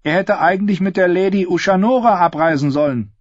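A man's voice: high voice, fairly quick, no room sound, highs cut off, pitched above the performer's own voice. A man voicing a direct, inquisitive young street girl in an audiobook. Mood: curious. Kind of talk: audiobook character voice.

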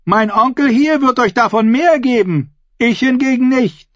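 A man's voice: very high-pitched, measured pace, a bit bright, no echo, highs cut off, pitched above the performer's own voice. A man voicing a bold, defiant young noblewoman in a dramatic audiobook, with a declamatory, challenging delivery. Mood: scornful, defiant.

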